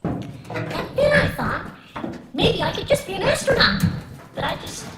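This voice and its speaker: A woman's voice: very high voice, sing-song, normal speed, echoey room, very noisy. A woman playing an eager, insecure young boy in a radio play, earnest and childlike. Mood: wistful.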